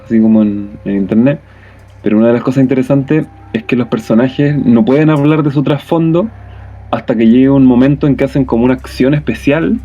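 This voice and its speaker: A man's voice: mid-range voice, dull audio. A man with a Chilean accent explaining things casually in a meandering, tentative way, with many tag questions. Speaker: podcast speaker.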